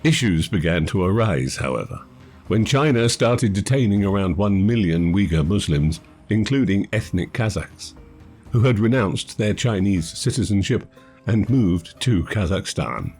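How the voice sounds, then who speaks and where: A man reading scripted documentary narration in a measured, formal manner. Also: on the low side, expressive, a little mellow, breathy edge. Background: background music.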